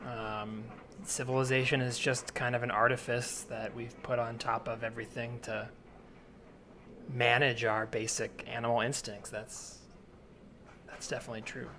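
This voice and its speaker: A man's voice: medium pitch, little pitch movement, normal speed, pushed and bright. A man improvising a helpful, awkward fellow shopper in a comedy scene, speaking hesitantly with oddly earnest politeness. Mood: earnest, uneasy. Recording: faint background noise, store soundscape.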